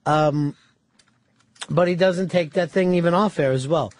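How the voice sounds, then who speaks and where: A man's voice: on the high side, a little slow, a little mellow, no echo. A man hosting live talk radio from a studio, blunt and profane as he needles his co-host.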